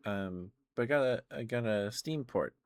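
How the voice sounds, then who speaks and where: A man's voice: lowish voice, animated, normal speed, smooth, no room sound. A man chatting casually and hesitantly on an ASMR game livestream, musing and rambling as he goes. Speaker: live streamer, video game streamer.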